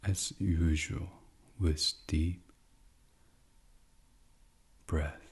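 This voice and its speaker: A man leading a guided sleep meditation in a soft, soothing, hushed ASMR style, with long pauses and near-whispered phrases. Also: very deep voice, steady tone, husky.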